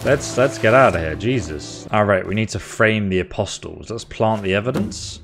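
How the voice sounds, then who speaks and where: Low-voiced man chatting through a game. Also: lively intonation, normal speed, creaky, music in the background. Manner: chatty, playful, reacting aloud to the game. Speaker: gaming video host. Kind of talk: casual game playthrough commentary.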